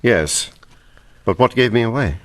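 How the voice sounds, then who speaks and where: An actor playing a haughty, crooked banker in a period radio mystery, speaking formally. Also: male voice, mid-range voice, expressive, measured pace, lightly acted. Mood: caught, cool. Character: smooth, indignant, crooked male banker villain. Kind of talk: old-time radio drama acting.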